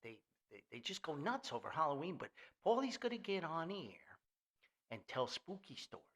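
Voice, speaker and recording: A man's voice: fairly high pitch, very animated, normal speed, a bit bright. An older man hosting a casual, rambling podcast in a folksy, jokey manner. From Paterson, New Jersey, US. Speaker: podcast host.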